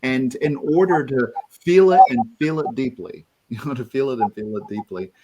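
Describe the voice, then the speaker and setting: A man's voice: mid-range voice, dark tone, muffled recording. Thoughtful man co-hosting a talk show. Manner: thoughtful, halting with restarts. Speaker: co-host. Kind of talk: reflective talk-show conversation.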